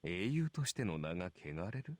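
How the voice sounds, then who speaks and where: A man's voice: low-pitched, big pitch swings, fast, a little mellow, no echo. A man playing a cynical, taunting male warrior in a Japanese visual novel. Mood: mocking, cool. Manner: taunting, sardonic. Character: cynical, smirking male archer warrior, mocking honour and pride.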